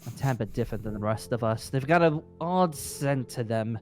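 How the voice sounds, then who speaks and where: A man playing a wry, knowing dragon slayer from centuries past in a fantasy game roleplay, with measured, sardonic delivery. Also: mid-range voice, expressive, darkish tone, faint music behind, natural voice. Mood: intrigued. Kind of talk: improvised Minecraft fantasy roleplay.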